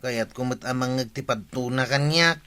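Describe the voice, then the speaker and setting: Radio comedy: male actor as whiny, lovestruck, gullible villager, Ilocano accent, sharp forceful voice. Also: medium pitch, normal speed, clean tone, no echo, clean studio sound, natural voice. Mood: hopeful.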